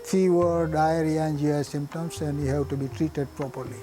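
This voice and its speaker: An older man with a Gujarati Indian accent, native in Gujarati, looks back on his childhood in an oral history. His voice sits a little high for a man but sounds mellow and soft-edged, and he talks plainly, pausing and restarting as he searches for words. Faint music plays softly behind him. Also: steady tone. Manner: halting, plain-spoken, pauses and restarts. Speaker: physician recalling his life.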